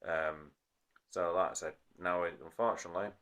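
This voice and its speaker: A man's voice: sits low, fairly even intonation, normal speed, fairly bright tone. A man talking casually to a phone as a hobbyist explaining a repair, rambling and often correcting himself apologetically. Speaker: hobbyist video maker. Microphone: phone recording.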